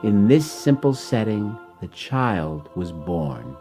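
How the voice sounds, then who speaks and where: A man reading his own rhyming children's story in an expressive, dramatic way. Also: lowish voice, slow, soft background music.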